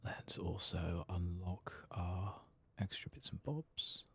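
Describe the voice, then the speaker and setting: A man giving soft-spoken, sometimes whispered ASMR gameplay commentary, murmuring and musing as if to himself. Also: deep voice, animated, slow, vocal fry, a little hiss or hum. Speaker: game streamer.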